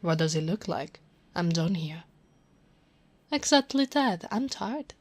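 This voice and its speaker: Casting: woman playing sultry, smug lady sheriff for ASMR roleplay, lowish voice, unhurried, flirty taunts sliding into whispers. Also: lively intonation. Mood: teasing, smug. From Italy. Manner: sultry, teasing, breathy drops into whispers. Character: teasing, smug, flirtatious female sheriff gloating over captive. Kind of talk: ASMR roleplay, scripted acting.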